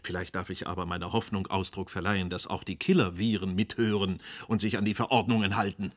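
A man's voice: low-pitched, fairly even intonation, quick pace, natural voice. A man playing an agitated, defiant news anchor with a sardonic, argumentative manner in a German radio play. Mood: dry sarcasm.

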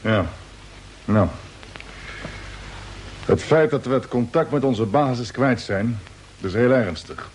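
An actor playing a grave, commanding spaceship captain in an old-style radio play. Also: male voice, medium pitch, slow, pauses often, natural voice. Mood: grave, resolute. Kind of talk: Dutch radio play acting, mid-century. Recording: noisy old radio drama recording.

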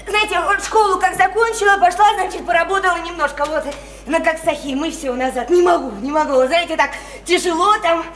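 Very high-pitched, naive small-town woman. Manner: rambling, insistent, simple folksy speech. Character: naive, sickly, chatty small-town woman living at home. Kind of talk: stage-style radio drama acting. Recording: roomy echo, faint noise.

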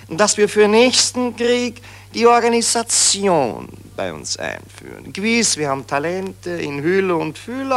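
A man's voice: high-pitched, vocal fry, a bit bright, exaggerated voice. An actor playing a complacent, rambling Austrian general who addresses his officers pompously with an Austrian accent in a satirical radio play. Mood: self-satisfied.

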